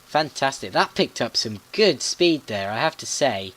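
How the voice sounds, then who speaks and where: A man's voice: mid-range voice, sing-song, measured pace, fairly bright tone. A teenage boy in secondary school giving chatty, polite play-by-play commentary on a video game, often stumbling over his words. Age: teens.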